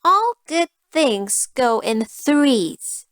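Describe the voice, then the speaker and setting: A woman voicing a greedy, haughty female cat in a fairy tale, with a smug and deliberate manner. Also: sits high, very animated, dry recording, studio quality, heavily acted. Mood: sly, coaxing.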